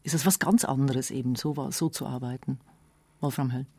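A woman's voice: rumbling low, fairly even intonation, a little fast, darkish tone, husky, dry recording. A woman hosting a radio magazine, putting questions to her guests in a conversational interview style. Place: dry radio studio.

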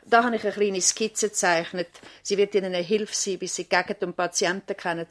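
An actress with a Swiss German accent playing an efficient, organised doctor's assistant, brisk and instructive, in a seventies radio play. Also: female voice, medium pitch, fairly even intonation, lightly acted. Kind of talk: 1970s radio play acting.

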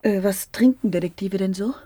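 An actress playing a flirtatious married woman in a radio crime drama, coaxing and teasing, at times dropping into a breathy whisper. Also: medium pitch, moderate pace, mellow, dry recording, close to an everyday voice.

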